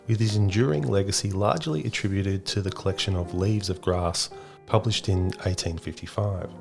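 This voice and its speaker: A man's voice: lowish voice, creaky, a little mellow. A man hosting a podcast, reading scripted educational narration. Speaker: podcast host.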